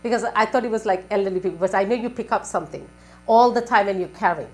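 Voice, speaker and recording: A woman's voice: medium pitch, fast talker, faint music behind. A woman working as a spiritual advisor on a live call-in show, giving advice in a repetitive, questioning way.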